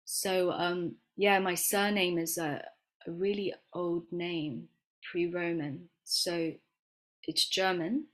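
Woman herbalist guest, raised in Florida then England, lower voice, reflective and unhurried. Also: steady tone, a bit bright. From grew up in Florida, then England. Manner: reflective, hesitant storyteller with many fillers and restarts. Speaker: guest, herbalist and medicine maker. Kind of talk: conversational interview.

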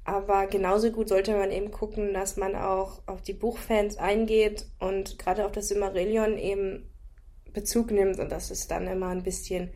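A woman's voice: medium pitch, level tone, normal speed, mellow. Critical woman reviewing a series. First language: German. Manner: critical, thinks aloud with restarts. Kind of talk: casual review chat.

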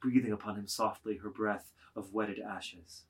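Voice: low voice, steady tone, moderate pace. A narrator reading scripted literary stage directions in a dramatic table reading.

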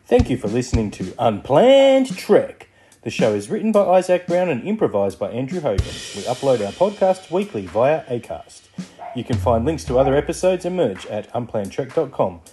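A man delivers scripted podcast announcements. His voice is soft-edged and mellow, with animated intonation. Faint drum music plays behind him. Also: medium pitch, normal speed. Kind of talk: scripted outro narration.

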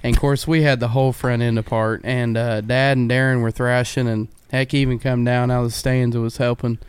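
A man's voice: mid-range voice, fairly quick, smooth, no echo. A man, a race car driver telling stories casually on a podcast. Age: 20s. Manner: relaxed storytelling with a laugh.

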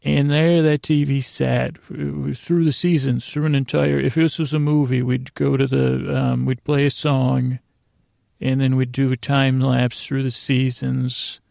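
An American man with a creaky, gravelly voice hosting a podcast, telling a meandering bedtime monologue that keeps interrupting itself. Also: mid-range voice, flat delivery, darkish tone, dry recording, studio quality. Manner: meandering, halting, self-interrupting, digressive. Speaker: podcast host.

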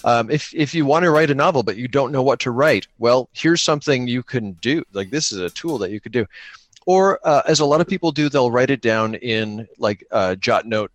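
A man's voice: medium pitch, normal speed, dry recording. A man in casual conversation, speaking earnestly and encouragingly with somewhat hesitant phrasing. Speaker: podcaster and guest. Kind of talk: casual chat about gaming.

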